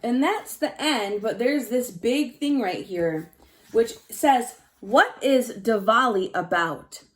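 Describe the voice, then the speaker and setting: A woman with a fairly high, very expressive voice gives a read-aloud lesson for young children. She speaks as their teacher, explaining in simple phrases and leaning on key words. Her delivery moves between reading the text and addressing the pupils directly. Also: slow.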